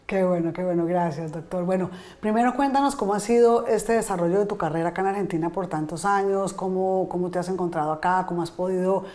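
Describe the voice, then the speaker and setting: A woman host with a fairly low, mellow voice, welcoming her guest warmly and asking about his career. Her questions are friendly and encouraging. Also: fast talker, hardly any pauses. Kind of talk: interview hosting.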